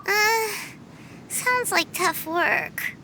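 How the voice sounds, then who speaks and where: A woman's voice: very high voice, drawn-out words, a little hiss or hum, exaggerated voice, poor audio. A woman voicing a tiny, childlike fairy companion with a curious, naive, exclamatory manner in a game. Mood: puzzled. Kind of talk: cartoonish game character dialogue. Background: loud game music.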